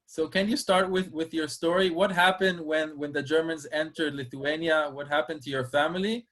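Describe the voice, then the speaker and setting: A man's voice: fairly high pitch, fairly even intonation, moderate pace, a bit bright. A man in his thirties moderating an interview on a webinar, speaking over a video call from a small room. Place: small plain room indoors. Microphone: video call, computer microphone.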